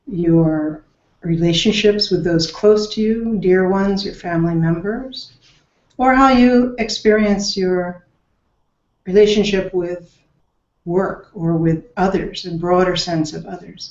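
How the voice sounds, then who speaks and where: In a roomy, slightly dull recording, a woman leads a guided meditation. Her voice sits on the low side and she speaks very slowly, leaving long pauses between gentle instructions. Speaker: meditation teacher. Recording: roomy echo, dull lo-fi sound.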